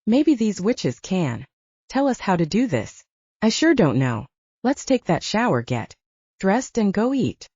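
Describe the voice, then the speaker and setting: A woman voicing an earnest, haunted young male half-werewolf in an audiobook, speaking in a halting, reflective way. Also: mid-range voice, sing-song, dark tone, slightly rough, dry recording. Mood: resigned. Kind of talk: audiobook character dialogue. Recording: dull, lo-fi, narrow sound.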